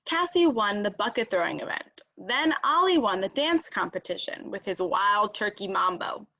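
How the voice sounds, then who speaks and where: A woman reading a children's storybook aloud as narrator, expressive and emphatic. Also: mid-range voice, moderate pace, lo-fi sound. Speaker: storybook reader.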